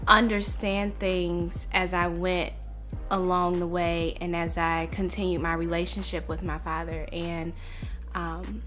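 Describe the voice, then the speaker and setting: Earnest young woman, slow and bright. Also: fairly low pitch. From United States. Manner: earnest, reflective, slow and heartfelt. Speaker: vlogger. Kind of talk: personal vlog talk to camera.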